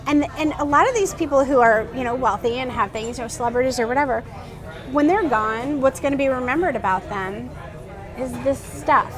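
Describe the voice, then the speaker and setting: A woman's voice: high-pitched, brisk, crowd noise. A middle-aged woman interviewing a guest in a casual chat. She talks earnestly and restarts her sentences often. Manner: earnest, animated, many restarts. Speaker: interviewer and blogger.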